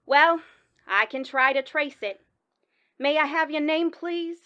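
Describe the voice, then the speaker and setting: A woman playing an unmoved, officious chief telephone operator in a 1940s-style radio drama, speaking in a formal, measured, bureaucratic way. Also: high-pitched, pushed and bright, dull audio. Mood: formal, detached.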